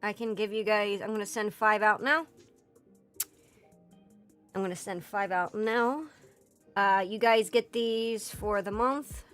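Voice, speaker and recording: Hoarse, rambling woman streamer. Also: mid-range voice, steady tone, a little slow, pauses often, fairly bright tone. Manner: rambling, filler-heavy and profane, breaks into laughter. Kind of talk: casual livestream chat. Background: faint background music.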